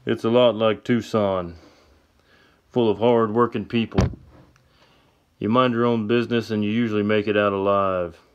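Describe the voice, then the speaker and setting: A weathered, deep-voiced gunslinger in a storybook western, unhurried and measured, speaking low and even, as a male narrator voices him. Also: muffled recording, a little hiss or hum, natural voice. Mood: steady. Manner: calm, steady, low-key.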